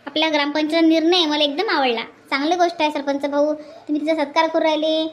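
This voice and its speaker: Quick, high-pitched village woman played by a woman, faint noise. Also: fairly even intonation, lightly acted. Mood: approving. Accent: rural Vidarbha Marathi. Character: agreeable, dutiful village woman, eagerly supportive. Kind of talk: hobby cartoon dialogue.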